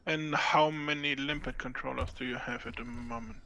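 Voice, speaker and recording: A man's voice: medium pitch, fairly even intonation, moderate pace, gravelly, bright, forceful tone. A man playing alongside the host, casually giving advice about ships and gear. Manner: helpful, gives game advice. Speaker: co-player. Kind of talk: casual game chat.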